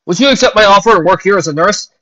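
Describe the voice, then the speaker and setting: A woman's voice: medium pitch, expressive, rapid-fire, fairly bright tone, voice pushed up. A woman voicing a courteous, encouraging doctor pony in a story reading. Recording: dull lo-fi recording.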